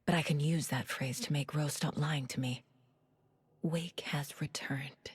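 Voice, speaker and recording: A woman's voice: low-pitched, steady tone, a little slow, husky, no room sound, exaggerated voice. A woman playing a blunt, determined FBI agent in a video game, terse and probing. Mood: focused, brooding. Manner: terse, probing, matter-of-fact. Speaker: lead character in a video game. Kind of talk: cinematic game acting, with inner monologue.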